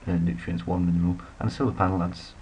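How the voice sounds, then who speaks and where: A man's voice: deep voice, fairly even intonation, fast, a little mellow, slight background noise. A man giving rambling, casual commentary while playing a strategy game, full of self-deprecating asides. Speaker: let's play commentator.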